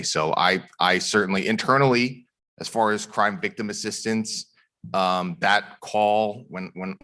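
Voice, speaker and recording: A man from a prosecutor's office answering questions at a community forum in an earnest, measured way, with many fillers and restarts. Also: sits low, sharp tone. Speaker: district attorney's office official.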